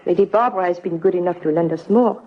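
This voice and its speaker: An actress playing a refined, pleading European princess in exile, speaking formally and haltingly in an old-time radio drama. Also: female voice, fairly low pitch, a little hiss or hum, lightly acted. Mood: grave, wistful. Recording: dull, muffled old radio recording.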